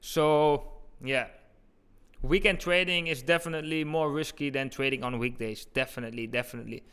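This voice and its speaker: A man's voice: medium pitch, steady tone, normal speed, fairly bright tone, clear, resonant tone. A man with an eastern Dutch accent, a native Dutch speaker, giving informal live chart commentary and talking directly to his viewers as a livestream host. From eastern Netherlands. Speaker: crypto trading livestream host.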